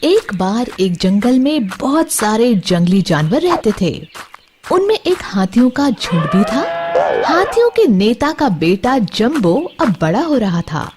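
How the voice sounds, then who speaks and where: A woman's voice: a little high, big pitch swings, slow pace, noisy background. A woman narrating a scripted children's bedtime story, expressively addressing young listeners. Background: music.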